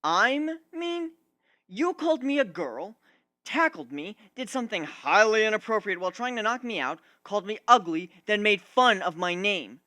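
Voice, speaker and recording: A narrator acting an indignant young male griffin, loud and bright. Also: medium pitch, expressive, normal speed, no room sound, studio quality, pitched above the performer's own voice. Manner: ranting, emphatic, aggrieved.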